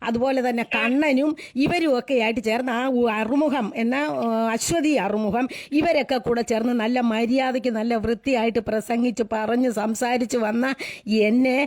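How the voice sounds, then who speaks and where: A woman's voice: fairly high pitch, steady tone, normal speed, darkish tone. Insistent Malayali woman on a phone call. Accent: Kerala Malayali. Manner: insistent, repeats herself, protesting. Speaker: local party worker. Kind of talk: heated phone argument. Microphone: telephone.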